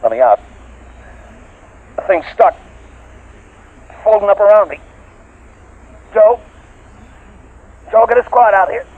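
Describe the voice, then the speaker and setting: An actor playing a field surveyor on a space expedition in an old-time radio drama, in a tinny recording. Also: male voice, high voice, sing-song, slow, pauses often, exaggerated voice. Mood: panicked. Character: laid-back spaceman field surveyor, turning to terrified victim.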